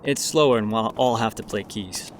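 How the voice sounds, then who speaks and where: A young man playing a low-key, hesitant band member in an audio drama, speaking casually. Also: moderate pace, slight background noise, natural voice. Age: young adult.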